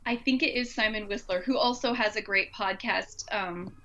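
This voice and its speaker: A woman speaks in a casual, enthusiastic conversational style at a medium pitch. The recording is slightly dull and lo-fi, and she sounds informal throughout. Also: normal speed, bright, forceful tone, slight background noise.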